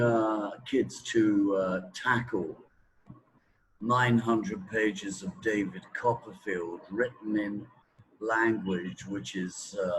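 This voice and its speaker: A man of sixty, a native English speaker, explaining his views in an interview in a halting, deliberate way, as if thinking aloud. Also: on the low side, a bit bright, a little hiss or hum, rough recording. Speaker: teacher trainer in reading, interview guest. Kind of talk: interview talk, explaining opinions.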